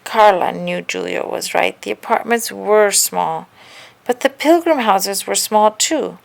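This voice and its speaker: A woman narrating a children's picture book aloud in an expressive, scripted storytelling style. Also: medium pitch, a little slow, creaky.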